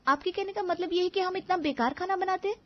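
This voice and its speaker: High female voice, acted, young sharp-tongued daughter-in-law, emphatic complaining, cartoon drama, dull lo-fi sound. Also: fast. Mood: indignant. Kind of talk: animated family story acting.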